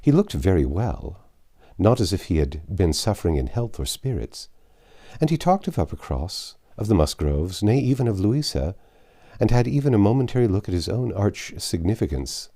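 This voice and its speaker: Deep-voiced man reading a classic novel expressively. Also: sing-song, normal speed, dark tone. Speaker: audiobook narrator. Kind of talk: literary novel reading, scripted narration.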